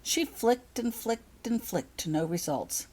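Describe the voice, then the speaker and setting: A woman reading a story aloud as scripted audiobook narration, in an expressive way. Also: fairly high pitch, unhurried, slightly rough. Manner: expressive, acts out dialogue. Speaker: author reading her own fan fiction.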